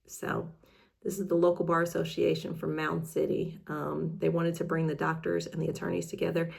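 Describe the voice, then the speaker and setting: A woman's voice: sits low, monotone, moderate pace, creaky. A woman hosting in a casual, chatty way, mixing reading aloud with informal, self-deprecating asides. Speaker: host reading old newspaper articles.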